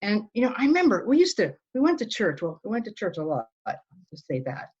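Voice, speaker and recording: A woman's voice: mid-range voice, sing-song, fast talker, darkish tone, slightly rough. A middle-aged woman from Northern Ireland, telling her story earnestly as an interview guest. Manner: earnest, storytelling, many restarts. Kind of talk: conversational interview. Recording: dull, lo-fi.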